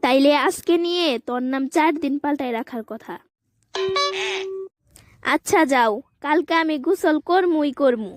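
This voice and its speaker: A woman's voice: sits high, normal speed, pauses often, dry recording, lightly acted. A woman voicing a stubborn, playful young girl in a comic cartoon, whiny and argumentative, with a Bangladeshi accent.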